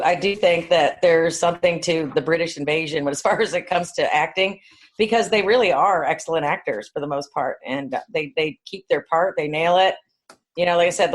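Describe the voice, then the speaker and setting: A middle-aged or older woman, chatting casually as a podcast co-host. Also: deep voice. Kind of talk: casual chat.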